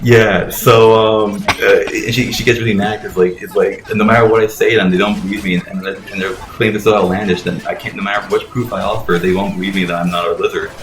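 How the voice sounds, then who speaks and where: A man's voice: fairly low pitch, steady tone, fast talker, few pauses, background noise. A man doing casual, rambling video game commentary, retelling things and acting out quotes. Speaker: let's play commentator.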